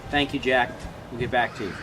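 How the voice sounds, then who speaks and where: A man's voice: mid-range voice, steady tone, a little fast. A man asking questions as a volunteer taking a report in an emergency drill. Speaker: message intake volunteer. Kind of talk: question-and-answer drill role-play. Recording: loud outdoor noise, distant mic, vehicles.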